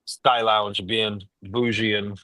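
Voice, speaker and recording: A man's voice: on the low side, level tone, slow pace, bright, forceful tone, no echo. A man co-hosting a casual chat show over a video call, talking in a loose, informal way. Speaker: podcast co-host. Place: busy airport lounge.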